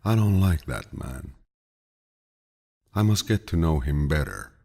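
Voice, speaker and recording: Very deep, gravelly man narrating calmly. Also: measured pace. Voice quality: deep, low, gravelly, husky voice. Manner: calm, soothing, grandfatherly storytelling delivery. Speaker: audiobook narrator. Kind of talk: calm, measured audiobook reading of quotations.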